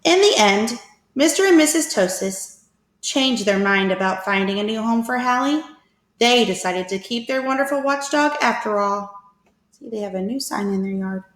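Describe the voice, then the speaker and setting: A woman reading a picture book aloud to children as a teacher, with expressive, deliberate storytelling. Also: a little high. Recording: echoey room.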